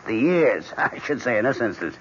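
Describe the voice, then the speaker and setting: An actor playing a gruff, dismissive husband, blunt and impatient, in a 1970s radio drama. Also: male voice, mid-range voice, sing-song, normal speed, lightly acted. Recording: dull 1970s radio broadcast with faint hiss.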